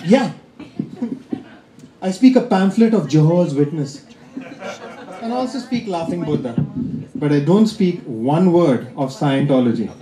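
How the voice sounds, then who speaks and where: A man's voice: high-pitched, dark tone. A man from India with an Indian accent performing a stand-up comedy set, teasing the crowd with a dry delivery. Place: comedy club stage. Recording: roomy live club sound.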